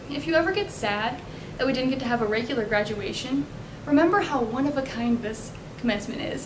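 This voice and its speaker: A young woman with an American accent reads a graduation speech in a fairly high voice. The room echoes around her, with clear rumbling noise behind. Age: young adult. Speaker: student graduation speaker. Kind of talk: scripted commencement speech. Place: indoor multipurpose room at podium.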